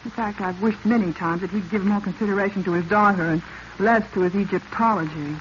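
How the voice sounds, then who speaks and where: A woman's voice: mid-range voice, a little fast, close to an everyday voice. An actress playing a frank, discontented young woman, a professor's daughter, in a 1940s radio drama. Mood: resentful. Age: young adult. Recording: muffled, hissy vintage radio recording.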